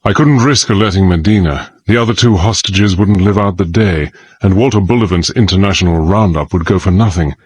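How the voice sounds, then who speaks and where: An actor playing a resolute middle-aged gentleman adventurer, narrating his exploits in measured, formal, old-fashioned phrasing. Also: low voice, breathy edge, no room sound. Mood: grave, reflective. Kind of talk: dramatised first-person narration and dialogue.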